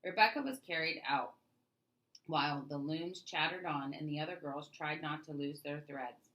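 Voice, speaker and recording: A woman reading a children's story aloud as an expressive narrator. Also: low-pitched, moderate pace, muffled recording. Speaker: storybook reader.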